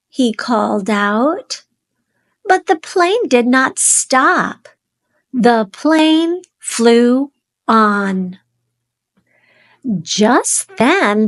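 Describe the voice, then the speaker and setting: A woman reads aloud to small children in a high voice. Her storybook narration is very slow and deliberate, with expressive, simple phrasing. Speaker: children's read-aloud host.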